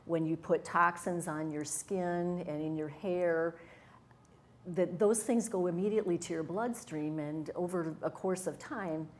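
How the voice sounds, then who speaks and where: Low-voiced earnest woman guest. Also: fairly even intonation, a little slow. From Wisconsin, USA. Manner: earnest, enthusiastic, with fillers and restarts. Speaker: interview guest, hypnotherapist and wellness coach. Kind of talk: conversational interview.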